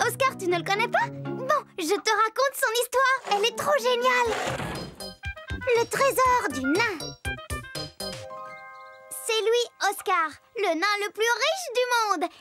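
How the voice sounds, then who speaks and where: Excitable, very high-pitched little girl. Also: measured pace, pauses often, over loud music. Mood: excited. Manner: exclamatory, confiding to the viewer. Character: excitable, chatty little girl storyteller, playful. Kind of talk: children's cartoon narration.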